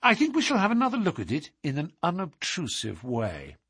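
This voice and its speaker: A man reading aloud, voicing a cool, measured, sharp-witted gentleman detective. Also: a little high, wildly swooping pitch, breathy edge, no echo, clean studio sound, voice pushed up, exaggerated voice. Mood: musing. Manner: cool and measured, dropping to a hushed whisper.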